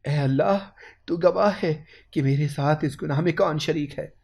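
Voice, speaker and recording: A man voicing a wronged, desperate young mother in an audio story, pleading and anguished, with a creaky voice. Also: a little high, normal speed, a little mellow.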